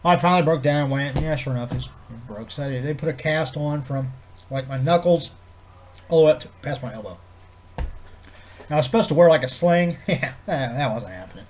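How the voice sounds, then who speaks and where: A man with a head cold, sounding congested and nasal, chatting to camera in a low-key, meandering way with loose swearing. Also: moderate pace, darkish tone, slight background noise. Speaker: vlogger. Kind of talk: rambling casual chat to camera.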